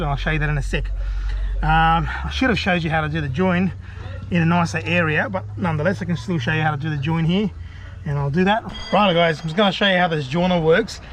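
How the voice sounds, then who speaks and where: Higher-voiced Australian man, noisy background. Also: a bit bright. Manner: informal, chatty, mate-to-mate. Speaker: DIY video host. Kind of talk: casual how-to vlog. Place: outdoors beside a vehicle. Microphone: action camera. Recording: clear background noise, outdoor camera audio.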